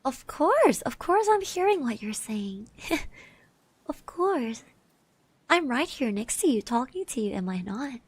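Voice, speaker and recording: A young woman voicing a shy, kind eighteen-year-old girl classmate in an ASMR roleplay, soft and gentle, hesitant and reassuring, close to the mic. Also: sits high, very animated, unhurried, husky, no room sound, full character voice. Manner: hesitant, bashful, stammering, reassuring. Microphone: close microphone.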